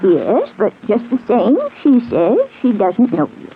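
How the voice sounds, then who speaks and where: An actress playing a polite but firm household maid in a scratchy 1940s radio drama. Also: female voice, medium pitch, big pitch swings, a little slow, narrow, tinny audio. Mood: politely firm.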